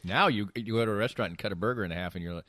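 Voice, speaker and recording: A man's voice: fairly low pitch, racing, a bit bright, no echo, clean studio sound. A middle-aged man co-hosting a podcast, telling stories in casual banter with a rambling, emphatic delivery. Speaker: podcast co-host.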